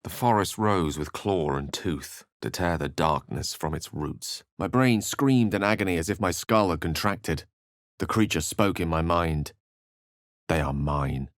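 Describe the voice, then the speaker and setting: An actor playing a scholarly, eloquent wizard companion in a video game, with an articulate, theatrical manner. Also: male voice, low voice, unhurried, no room sound, studio quality. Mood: hushed, ominous. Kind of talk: video game character acting and narration.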